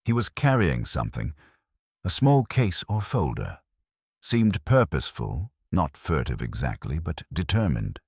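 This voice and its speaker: Guy reading as a nervous young witness in a mystery, careful wording, really deep voice, dry recording. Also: big pitch swings, unhurried, husky, no echo, exaggerated voice. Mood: reluctant, relieved. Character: uneasy young male witness, reluctantly confessing.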